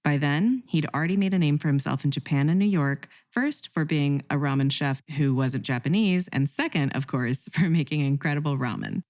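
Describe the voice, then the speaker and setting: A woman's voice: sits low, moderate pace, few pauses, darkish tone. A woman hosting a podcast, reading a wry, conversational intro and then interviewing guests. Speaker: podcast host.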